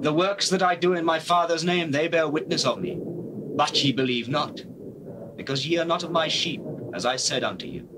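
An actor playing a solemn, authoritative holy teacher in dramatized scripture, declaiming in measured phrases with deliberate pauses. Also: male voice, a little high, lightly acted. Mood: calm authority. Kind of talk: dramatized scripture, classical stage delivery. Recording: faintly noisy old cassette.

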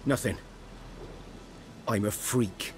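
A sombre outcast hunter from a fantasy video game. His voice is fairly low, and he talks in a guarded, plain-spoken rustic manner over music and rain. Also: slow pace. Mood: guarded, bitter.

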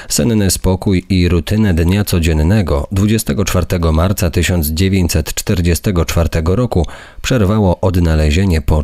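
A man narrates a documentary script in a low voice. The sound is dry, with no room around it. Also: darkish tone, no echo.

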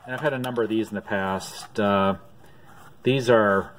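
A man casually showing his auction finds to the camera from behind it, filling his speech with "uh" pauses at home. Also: slight background noise, poor audio. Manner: unhurried, many "uh" pauses, low-key. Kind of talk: casual off-camera show-and-tell. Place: home room at a desk.

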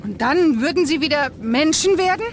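A woman's voice: sits high, animated, normal speed. An actress playing a plucky, anxious young woman adventurer in a dramatic, exclamatory radio play style. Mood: shaken. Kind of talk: German children's sci-fi radio play acting. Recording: loud action noise behind the voice.

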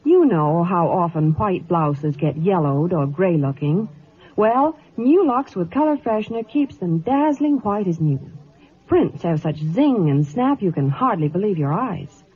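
A woman's voice: fairly low pitch, sing-song, unhurried, breathy edge. An American woman giving scripted 1950s radio gossip and advertising with a chatty, polished sales delivery. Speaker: Hollywood reporter, ad pitchwoman. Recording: tinny, fuzzy old radio broadcast.

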